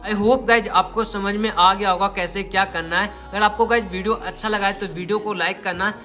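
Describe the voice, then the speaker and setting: A man's voice: high-pitched, steady tone, brisk, sharp tone, faint music behind. A young man narrating a step-by-step tutorial, addressing viewers directly and repeating himself. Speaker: tech tutorial YouTuber.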